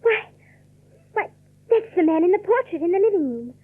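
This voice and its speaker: An actress playing a polite, timid young country cousin in a radio drama, speaking with hesitant courtesy. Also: female voice, very high-pitched, very animated, moderate pace, dry recording. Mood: bright, curious. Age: young adult. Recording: dull, dry old radio recording.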